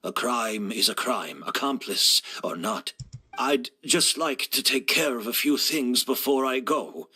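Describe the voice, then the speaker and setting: An actor playing a frail, courteous, resigned gentleman in a video game, speaking in a measured and polite way. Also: mid-range voice, slightly rough.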